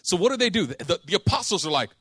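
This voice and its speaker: A man preaching a sermon, telling a story with lively acted-out dialogue and casual slang. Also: high-pitched, rapid-fire, slightly rough, no room sound, studio quality. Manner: animated storytelling, acting out dialogue.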